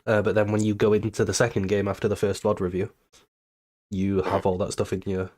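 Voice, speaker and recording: A British man with a fairly low voice, a bit nasal because he is coming down with something. He talks through a coaching session in an easy, casual way and lays out each step in order. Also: flat delivery, a little fast, darkish tone. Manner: methodical, explains step by step. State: a little sick, nasal.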